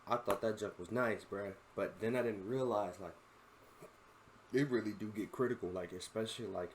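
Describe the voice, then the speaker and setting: A man's voice: fairly low pitch, gravelly, a little hiss or hum. A 21-year-old man and content creator, giving casual, rambling reaction commentary full of slang and constant "bro.".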